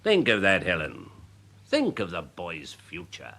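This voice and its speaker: An actor playing a worldly, domineering older uncle, a snobbish major with an upper-class English accent, in a period radio drama. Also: male voice, deep voice, wildly swooping pitch, measured pace, pushed and bright, exaggerated voice. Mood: condescending, insistent. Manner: pompous, condescending, clipped authority.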